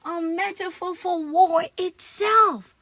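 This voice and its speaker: A woman's voice: very high-pitched, slow pace, sharp tone, dry recording, pitched above the performer's own voice, heavily acted. Woman voicing a cocky, dramatic comic-strip schoolboy. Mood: defensive. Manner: self-important, theatrical.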